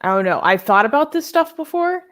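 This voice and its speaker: A woman's voice: high-pitched, sing-song, brisk, a bit bright. A young woman co-hosting a live Q&A chat, thinking aloud and hesitant, with many ums. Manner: thoughtful, hesitant, lots of ums and laughs. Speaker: co-host.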